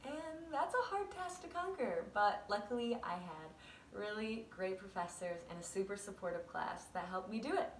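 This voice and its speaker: A woman's voice: medium pitch, expressive, normal speed, echoey room, recorded from a distance, slight background noise. A twenty-two-year-old woman introducing herself in a candid, upbeat way. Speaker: theater student.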